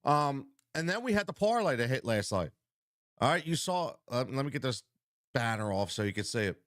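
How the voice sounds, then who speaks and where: Male betting-show host, congested, hype delivery, average pitch, dry mic. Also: fairly quick, no room sound. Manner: energetic, repetitive hype, talks straight to viewers. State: congested from a cold. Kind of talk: live-stream betting talk.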